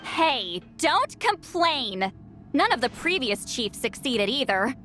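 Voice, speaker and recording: Ancient but youthful-sounding female shaman, fairly high voice, brusque, testy, casual, game dialogue over music. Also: sing-song, slow pace, sharp tone, full character voice.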